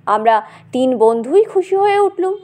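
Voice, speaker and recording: A woman narrating a comic story in an animated, dramatic and conversational way, as if speaking straight to the listener. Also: high voice, moderate pace.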